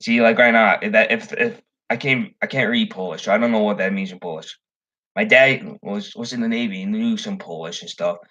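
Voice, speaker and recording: A man's voice: on the low side, brisk, sharp tone, muffled recording. A young man from the Chicago area chatting casually on his fishing livestream, rambling with many ums and restarts. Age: young adult. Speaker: fishing channel host.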